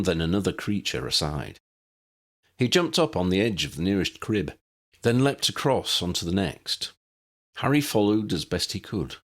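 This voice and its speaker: A man reading a story as a dramatic, expressive audiobook narrator. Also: low voice.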